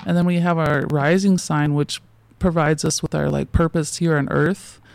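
A woman's voice: deep voice, fairly even intonation, moderate pace, creaky, darkish tone. A woman in her forties, a guest in a casual interview, talking in loose, rambling sentences full of fillers and restarts and often laughing between thoughts.

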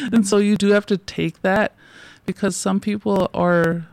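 A woman's voice: medium pitch, steady tone, unhurried, gravelly, darkish tone, no echo, poor audio. A woman in her forties, a guest in a casual interview, talking in loose, rambling sentences full of fillers and restarts and often laughing between thoughts.

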